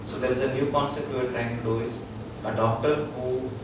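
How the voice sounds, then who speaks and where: A man with an Indian accent gives an informal educational talk as a doctor, explaining in a halting way to a group. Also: medium pitch, steady tone. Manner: halting, explanatory, checks listeners follow. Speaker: doctor giving a wellness lecture. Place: echoey room with a small audience. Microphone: distant from the speaker. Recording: very echoey room, distant mic, loud noise.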